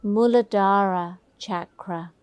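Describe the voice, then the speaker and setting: A woman teaching a guided meditation, giving gentle, soothing, unhurried instructions. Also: mid-range voice, clean tone, no room sound.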